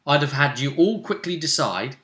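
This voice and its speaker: A man's voice: a little high, expressive, normal speed, fairly bright tone. A British man talking casually and animatedly as game master, recapping a tabletop role-playing session and acting out little asides. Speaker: game master, host of a tabletop RPG vidcast.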